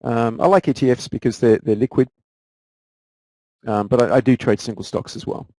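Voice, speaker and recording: A man's voice: mid-range voice, fairly even intonation, normal speed, mellow, no room sound. A man with an Australian accent, a guest trader answering viewer questions in a casual live Q&A, often repeating words as he restarts sentences.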